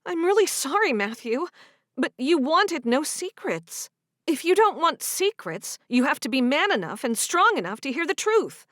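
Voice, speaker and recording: A woman's voice: sing-song, moderate pace, a bit bright, no room sound, studio quality. A woman playing a bold, defensive young woman. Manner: pleading, high and emphatic.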